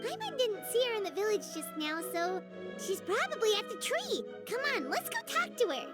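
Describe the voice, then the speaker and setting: A woman's voice: very high-pitched, huge pitch swings, moderate pace, full character voice. A woman voicing a tiny, cheerful, childlike fairy companion in an anime-style video game, with music behind her. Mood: thoughtful.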